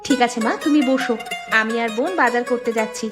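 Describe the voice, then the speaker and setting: A woman's voice: fairly high pitch, fairly even intonation, quick pace, music in the background, close to an everyday voice. A woman voicing a dutiful young elder daughter in a village cartoon, polite and explanatory. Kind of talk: cartoon dialogue acting.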